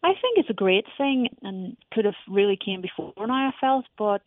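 A woman's voice: mid-range voice, lively intonation, moderate pace, no room sound. A woman with an Irish accent, a soccer coach, talking in a chatty phone interview with many restarts. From Ireland. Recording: tinny phone line.

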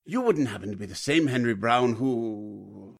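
Man as a mild, mid-pitched polite gentleman. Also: expressive, normal speed, vocal fry. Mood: coy, hesitant. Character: diffident, well-mannered gentleman, coyly informative. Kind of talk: 1940s radio drama acting.

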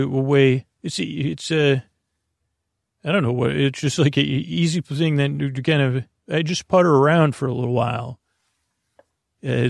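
A man with a gravelly, creaky voice talks in a loose, meandering way, tripping over words as he goes. He sounds easygoing and companionable, like a friend chatting on speakerphone. The recording is close and dry. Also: medium pitch, no echo. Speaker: sleep podcast host. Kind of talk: casual rambling monologue.

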